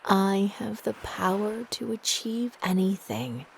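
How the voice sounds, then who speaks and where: A woman narrating a guided meditation in a soft, breathy, gentle and soothing manner with long pauses. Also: medium pitch, big pitch swings, a little hiss or hum.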